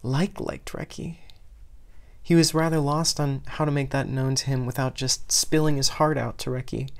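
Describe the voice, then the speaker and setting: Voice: medium pitch, fairly even intonation, normal speed. A narrator of unclear sex reading scripted fiction expressively as a podfic reader.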